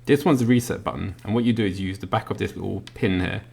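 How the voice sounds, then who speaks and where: A man gives a casual hands-on product walkthrough. His voice sits very low and has a noticeable vocal fry. He explains things in a somewhat halting, unscripted way. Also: a little mellow. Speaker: product reviewer. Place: indoors at a work desk.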